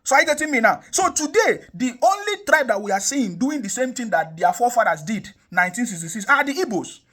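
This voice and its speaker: A man's voice: very high voice, big pitch swings, fast talker, bright, forceful tone. A young Nigerian man from the South South giving impassioned political commentary, emphatic and repetitive, with frequent rhetorical questions. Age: young adult. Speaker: online commentator.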